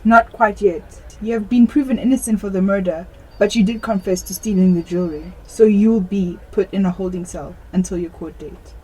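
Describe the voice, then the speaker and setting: A woman's voice: mid-range voice, a little fast, a little mellow, a little hiss or hum, close to an everyday voice, rough recording. A teenage woman playing a stern, businesslike police detective in an amateur radio play. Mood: stern, questioning.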